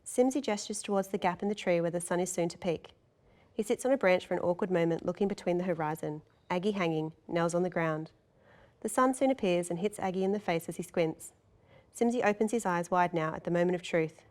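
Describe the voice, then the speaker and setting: A woman's voice: fairly low pitch, a little fast, a little mellow. A woman narrator reading scene descriptions and stage directions from a script.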